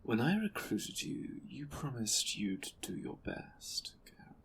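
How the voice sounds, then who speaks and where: A man playing an imperious, menacing board leader in an audio drama, speaking in a condescending, deliberate, sardonic way. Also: medium pitch, very animated, fairly bright tone, in a whisper, heavily acted. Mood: cold reproach. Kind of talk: audio drama roleplay acting.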